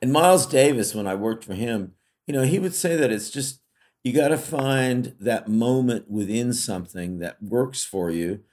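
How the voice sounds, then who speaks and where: A man's voice: mid-range voice, lively intonation, normal speed, a little mellow. A middle-aged man from California, co-hosting a podcast in casual, reflective conversation full of fillers and restarts. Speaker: podcast co-host.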